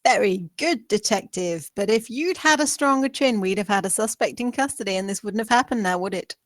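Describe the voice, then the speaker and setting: A woman's voice: mid-range voice, a little fast, no echo, studio quality, close to an everyday voice. A woman playing a scornful, commanding district attorney in a screenplay table read, speaking with cutting sarcasm and authority. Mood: scornful, controlled anger.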